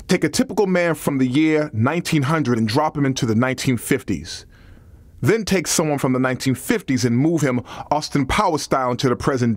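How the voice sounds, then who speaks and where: A man's voice: medium pitch, dry recording. A man reading a nonfiction audiobook as a scripted narration.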